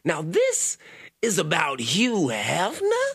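A gravelly, husky older man rants through a podcast with loud, profane reactions and stumbling restarts. His pitch swoops up and down as he fires off questions. The sound is dry and close. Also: huge pitch swings, takes it slowly, no room sound. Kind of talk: casual commentary reacting to clips.

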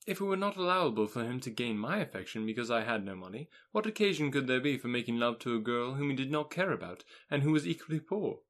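A man's voice: medium pitch, sing-song, a bit bright. A man voicing a witty spirited young lady. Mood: arch. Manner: brisk and ironic, turning rapturous. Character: witty spirited young woman, quick and teasing.

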